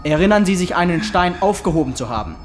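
An actor playing a police detective who talks in a blunt, slightly awkward, matter-of-fact official way. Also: male voice, sits high, lively intonation, quick pace, over music. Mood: probing.

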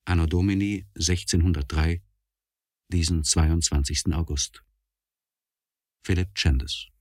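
A low, husky voice of an anguished, courtly poet. He declaims a confessional letter solemnly, in measured phrases with weighty pauses. The style is that of a 1960s German radio play monologue. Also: steady tone. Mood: grave, reverent. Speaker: actor reading a literary letter.

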